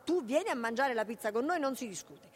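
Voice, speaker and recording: A woman's voice: a little high, expressive, fast, breathy edge. An actress with a Neapolitan accent playing a harried, proud, affectionate single mother in a radio play, emphatic and repetitive. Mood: reassuring. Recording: noisy radio theatre recording.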